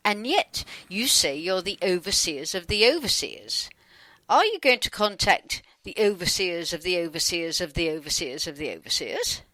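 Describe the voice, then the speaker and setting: A woman speaks in a bright voice that swings expressively up and down. She is wry and informal as she reads her questions in a channelled dialogue. The recording is close and dry. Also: lowish voice, big pitch swings, moderate pace, no room sound. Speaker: channeller putting questions to spirit beings. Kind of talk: conversational reading of a channelled dialogue.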